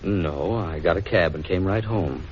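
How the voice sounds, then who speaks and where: A man acting a well-off, dryly defiant young gentleman in a 1940s radio drama, polite and hesitant. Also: deep voice, a little slow, husky. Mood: affable, guarded. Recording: muffled, noisy 1940s radio recording.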